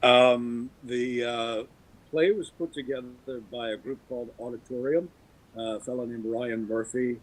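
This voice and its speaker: Husky middle-aged man reminiscing. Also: medium pitch, steady tone, a little slow, poor audio. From US East Coast, Baltimore. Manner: reminiscing, thoughtful. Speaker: actor and radio drama guest. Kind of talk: casual chat.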